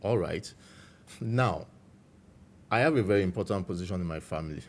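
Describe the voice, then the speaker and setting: A man with a Nigerian accent, a guest recounting his life story in a measured, reflective way. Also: low-pitched, a little hiss or hum. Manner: measured, warm storytelling with frequent "you know".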